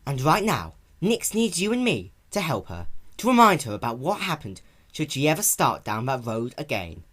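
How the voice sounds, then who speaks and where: A performer voicing a caring female pony in a cartoon-based story reading, soft, patient and reassuring like a big sister. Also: mid-range voice, very animated, normal speed, voice pushed up.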